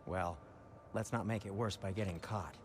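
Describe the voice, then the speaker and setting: A man's voice: deep voice, normal speed, a little hiss or hum, close to an everyday voice. An actor playing an anxious but kindly, fussy male dwarf in a video game cutscene. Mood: wry, encouraging.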